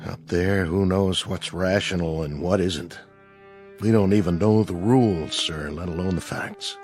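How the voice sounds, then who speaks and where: A thoughtful colonel in a radio drama. His voice is deep and sober, with a measured, reflective delivery over quiet music. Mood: grave, reflective. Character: thoughtful, sober male colonel and spaceflight colleague.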